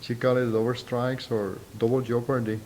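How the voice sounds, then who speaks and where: Middle-aged man, thick Puerto Rican accent, native Spanish speaker, professor in an interview, halting and deliberate, mid pitch, faint rumbling noise. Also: flat delivery, darkish tone. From Puerto Rico. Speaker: university professor being interviewed. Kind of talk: reflective interview answers. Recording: noisy, low rumble behind.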